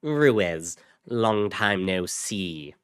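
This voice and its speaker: A man voicing an apathetic, darkly flippant psychopath with a deadpan, taunting manner in an audio story. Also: deep voice, drawn-out words, clean tone, no echo, clean studio sound, heavily acted. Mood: smug.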